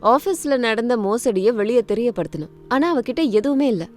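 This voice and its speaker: A woman's voice: a little high, expressive, normal speed, faint music behind. Woman narrating a dramatic family story. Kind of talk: scripted Tamil moral-story narration with dramatic delivery.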